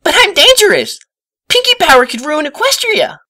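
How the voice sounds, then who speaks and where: A man voicing a hyper, emotional young female cartoon pony with dramatic, excitable outbursts in a storybook reading. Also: very high voice, normal speed, dry recording, clean studio sound, pitched above the performer's own voice, exaggerated voice. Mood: excited, dramatic.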